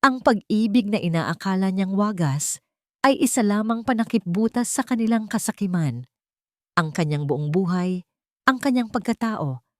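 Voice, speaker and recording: A woman narrating a scripted dramatic story in an emotive, deliberate way. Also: medium pitch, a little mellow, no echo, clean studio sound.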